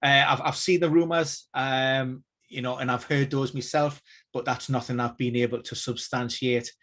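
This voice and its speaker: Measured man giving expert analysis. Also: mid-range voice, steady tone, a bit bright. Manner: measured, hedging, many fillers and restarts. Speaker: injury news expert. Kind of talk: casual expert analysis on a live stream.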